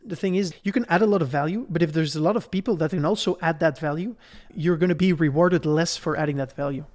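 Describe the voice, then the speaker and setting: A man's voice: on the high side, fast, a little mellow. A man from Belgium explaining a subject in a conversational podcast style, laying it out step by step and checking in as he goes. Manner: didactic, step-by-step, checks in with "right?". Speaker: podcast host explaining investing.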